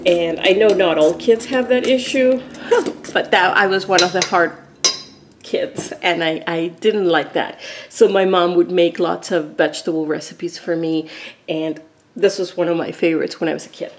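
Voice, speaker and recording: A woman's voice: fairly low pitch, steady tone, moderate pace, background noise. A woman from Argentina talking through a recipe step by step as she cooks in her home kitchen. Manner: chatty, explains steps as she cooks. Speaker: cooking vlogger. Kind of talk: casual cooking demonstration.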